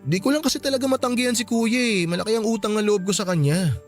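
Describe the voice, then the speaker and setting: A man's voice: sits high, fast, soft background music, natural voice. A man playing a conflicted young Filipino husband in a radio drama, hesitant, pleading and defensive. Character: conflicted young husband, apologetic, loyal to his brother.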